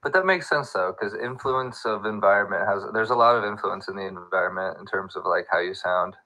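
A young man chatting easily in a recording studio, agreeable and relaxed. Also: on the low side, fairly quick, poor audio. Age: young adult. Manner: easygoing, agreeable. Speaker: music producer. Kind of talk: casual chat.

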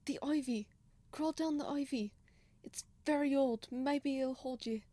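Woman as a high-voiced, lamenting old servant man. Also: measured pace, warm tone, no echo. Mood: kindly, concerned. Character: pious, timid old male servant, kindly and lamenting. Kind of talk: old-fashioned radio drama acting.

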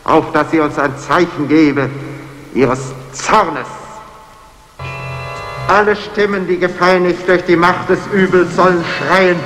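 A high male voice rings out in a long, echoing reverb with music behind it. a fanatical member of a secret brotherhood, solemn and ceremonial, declaiming like a judge in an old radio thriller. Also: fairly even intonation, takes it slowly, a bit bright. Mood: solemn, menacing. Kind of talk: solemn incantation, 1960s German radio drama.

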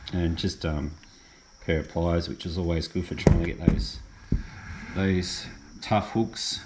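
Middle-aged Aussie man, deep voice, loose unscripted gear chat with frequent ums. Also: background noise. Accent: Australian. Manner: relaxed, rambling with many ums and restarts. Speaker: fishing vlogger. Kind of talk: casual gear walkthrough. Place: garage or shed, indoors.

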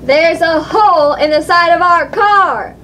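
Woman voicing an eager, very high little girl puppet. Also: unhurried, pushed and bright, a little hiss or hum, full character voice. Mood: cheeky, eager. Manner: pleading, dramatic, cheeky. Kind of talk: children's puppet show acting.

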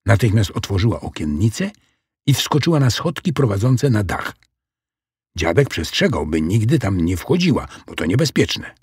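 A man narrating a children's audiobook in an expressive storytelling style. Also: lowish voice, normal speed, slightly rough, no room sound, clean studio sound.

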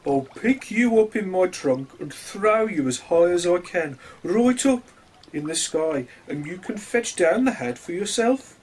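A man voicing a large, fat, jolly elephant in a children's storybook, slow and emphatic. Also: sits high, lively intonation, a little hiss or hum, pitched above the performer's own voice, poor audio. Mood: helpful.

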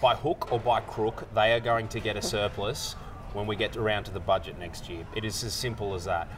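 A man's voice: lowish voice, steady tone, brisk. A man with an Australian accent giving blunt, confident political opinions on a casual panel. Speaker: political commentator. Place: indoor bar-restaurant, seated at a small table.

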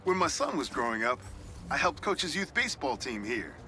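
A man's voice: medium pitch, very animated, a little fast, pushed and bright, soft background music. An actor playing a genial middle-aged New York newspaperman with an American accent, telling nostalgic, reflective stories in a video game. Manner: storytelling, reflective. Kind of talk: game voice acting, conversational monologue.

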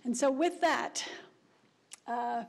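A woman's voice: fairly high pitch, takes it slowly, creaky. A middle-aged woman chairing a city council meeting at a desk microphone, speaking with frequent restarts. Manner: warm praise, repeated restarts. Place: council chamber.

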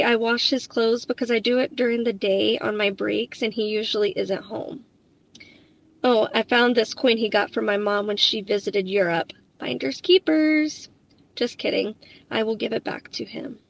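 Chatty woman with a gravelly edge. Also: on the high side, no room sound, rough recording. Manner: chatty, upbeat, talking to viewers. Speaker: cleaning vlogger. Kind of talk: voice-over narration.

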